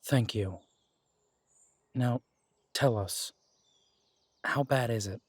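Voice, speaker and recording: A man playing a steady, protective detective who speaks softly and reassuringly, trying to keep the peace. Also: medium pitch, extremely slow, husky, no echo. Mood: placating. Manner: soft and reassuring, pleading, peacemaking. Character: steady, protective male detective, tender with his partner. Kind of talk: audio roleplay drama acting.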